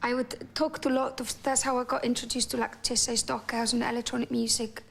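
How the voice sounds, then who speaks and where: A woman's voice: fairly high pitch, little pitch movement, a little fast, creaky, fairly bright tone, a little hiss or hum. A woman with an Icelandic accent, talking reflectively in a documentary interview and often restarting and repeating words as she searches for her thoughts.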